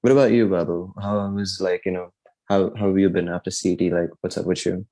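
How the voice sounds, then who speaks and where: Deep young guy from india, rambly casual podcast talk, um and like a lot. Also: steady tone, brisk, warm tone. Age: young adult. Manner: rambling, many fillers and restarts. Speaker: podcast guest. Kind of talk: casual chat.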